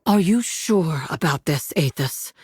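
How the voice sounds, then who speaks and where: Dignified, lowish-voiced elven noblewoman. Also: lively intonation, a little slow, darkish tone, slight background noise. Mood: gentle gravity. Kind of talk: fantasy game voice acting.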